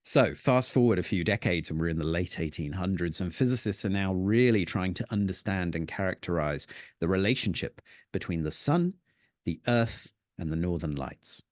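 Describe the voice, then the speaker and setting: A man giving an illustrated talk on the history of the northern lights, telling it as a lively story with playful asides. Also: low-pitched, moderate pace, no room sound, studio quality. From Danish heritage. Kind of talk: illustrated presentation with slides.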